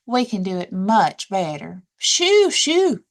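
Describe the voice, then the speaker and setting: A woman's voice: medium pitch, big pitch swings, takes it slowly. A woman voicing a bossy, fussy, easily flustered hen in a children's storybook. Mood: alarmed, scolding. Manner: fussy, scolding, self-satisfied.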